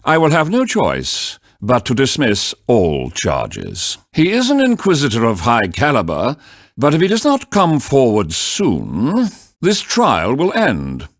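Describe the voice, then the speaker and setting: A man's voice: mid-range voice, no room sound, clean studio sound, exaggerated voice. A man voicing a stern, pompous elderly judge of a medieval witch trial in a theatrical video game style, formal and easily flustered. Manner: formal, pompous, slow courtroom pronouncements, sputtering when surprised.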